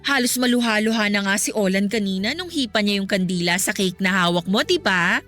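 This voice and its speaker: A woman playing an admiring, excitable female friend in Filipino radio drama style. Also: medium pitch, fairly quick, soft background music, close to an everyday voice. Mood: impressed.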